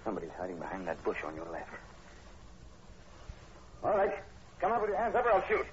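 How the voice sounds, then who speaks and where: Tough cop sergeant, talks fast n low, bossy, suspicious, old 40s radio show, dull recording. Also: male voice, wildly swooping pitch. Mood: sharp, commanding. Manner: clipped, commanding. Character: brisk, suspicious male police sergeant, tough and decisive. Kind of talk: 1940s radio horror acting. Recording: muffled, noisy 1940s radio recording.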